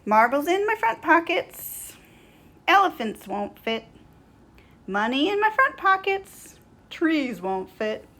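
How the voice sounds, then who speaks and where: A cheerful little schoolboy from a picture book, acted out by a woman reading aloud. The voice is raised and lively, with a bouncy, singsong, encouraging way of speaking. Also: sits high, moderate pace, voice pushed up, heavily acted. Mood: playful singing. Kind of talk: children's picture-book character voice.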